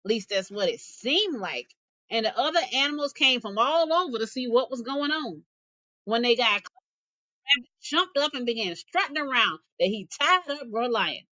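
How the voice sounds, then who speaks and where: A woman reading folk tales aloud as a teacher, in an expressive storytelling style. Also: fairly high pitch, normal speed, no room sound, low-quality recording.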